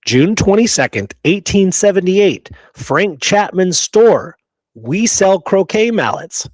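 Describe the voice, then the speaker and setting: A man's voice: sits high, big pitch swings, slow pace, a little mellow, dry recording. A man co-hosting a casual discussion, speaking emphatically and often checking in with short rhetorical questions. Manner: emphatic, rhetorical check-ins like "right?" and "okay?". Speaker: podcast co-host.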